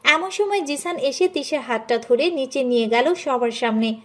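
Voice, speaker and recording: A woman narrator with a high voice. She delivers scripted storytelling, carrying the tale between the acted dialogue. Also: animated, moderate pace, a bit bright.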